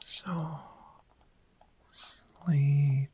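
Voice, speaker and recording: A woman voicing a friendly, gentle, sleep-loving dragon in a soft-spoken ASMR roleplay, whispering soothingly and lingering over repeated words. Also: deep voice, steady tone, extremely slow, full character voice. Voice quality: breathy whisper.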